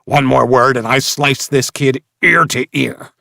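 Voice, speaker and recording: A man's voice: mid-range voice, a little slow, creaky, bright, forceful tone, no echo, studio quality, pitched above the performer's own voice. A man voicing a brutal, short-tempered leader of a slaver gang in an audiobook, barking commands with menace. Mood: furious threat. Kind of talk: audiobook character acting.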